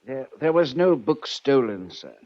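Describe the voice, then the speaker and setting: Husky, anxious manservant. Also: male voice, medium pitch, sing-song, unhurried. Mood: hushed, flustered. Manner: hesitant, stammering, respectful. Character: anxious, deferential, loyal manservant of an old collector. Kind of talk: 1940s radio drama acting. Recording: dull vintage radio recording.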